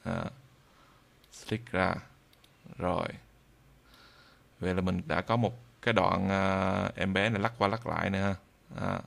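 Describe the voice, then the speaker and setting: A man narrating an informal software tutorial in a halting way, with frequent drawn-out hesitations. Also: sits low, steady tone, gravelly, slight background noise. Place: indoors at a computer, screen recording voice-over.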